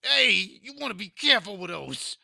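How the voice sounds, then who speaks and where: Shrill, whiny, cowardly demon. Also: male voice, very animated, normal speed, creaky, pushed and bright, no echo, clean studio sound, exaggerated voice. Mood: pained, pleading. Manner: whiny, hesitant, indignant. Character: cowardly, whiny, put-upon demon underling. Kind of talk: audio drama acting.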